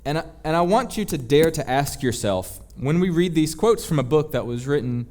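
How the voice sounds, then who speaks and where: A man's voice: a little high, animated, moderate pace, a little mellow. A man preaching a sermon in an emphatic, rhetorical and confessional manner. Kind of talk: sermon, teaching.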